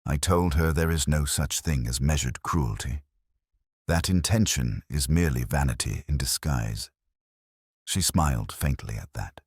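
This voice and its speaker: A man reading a scripted first-person detective story in a measured, reflective, literary manner. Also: rumbling low, breathy edge, no room sound, clean studio sound. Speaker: narrator.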